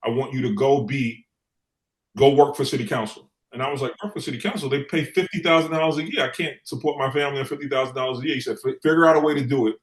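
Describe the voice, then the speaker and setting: A man's voice: mid-range voice, steady tone, fast talker. A middle-aged man from New York City speaking as an interview guest, telling stories conversationally and repeating phrases for emphasis. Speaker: guest, former city council member. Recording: echoey room sound.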